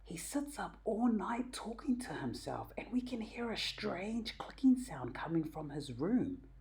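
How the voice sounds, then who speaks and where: A woman's voice: mid-range voice, big pitch swings, unhurried, darkish tone, a little hiss or hum, voice pushed up. A woman voicing a worried, gossipy lodger who whispers conspiratorially in a storybook. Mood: hushed, worried.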